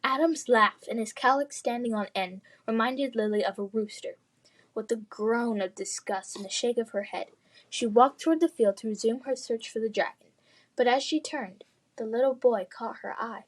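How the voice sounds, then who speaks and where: A woman reading children's fantasy fiction aloud in expressive, scripted narration. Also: a little high, moderate pace. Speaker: storybook reader.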